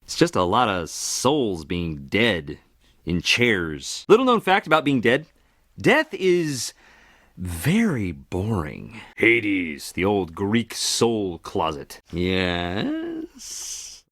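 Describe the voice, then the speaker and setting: Flamboyant, gossipy trickster god, wildly swooping voice. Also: male voice, fairly low pitch, huge pitch swings, very slow, a bit bright, no room sound, clean studio sound, exaggerated voice. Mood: wry. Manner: flippant, showman-like, breaking the fourth wall. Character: flamboyant gossipy male Greek messenger god, cheerful liar. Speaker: guide to the underworld. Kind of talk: theatrical monologue to an audience.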